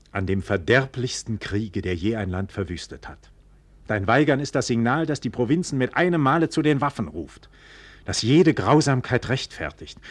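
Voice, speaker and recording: A proud, impassioned nobleman in a classical radio play. He speaks in a fairly low voice with emphatic, theatrical rhetoric, recorded dry without room sound. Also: no echo. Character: proud, trusting, impassioned nobleman scorning caution. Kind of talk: classical German stage drama for radio.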